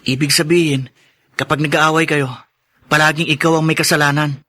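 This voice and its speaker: A man's voice: a little high, level tone, brisk, bright, forceful tone. A man playing an earnest, troubled schoolboy in a radio drama, polite and plaintive. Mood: concerned. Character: earnest schoolboy son, troubled by quarrelling parents.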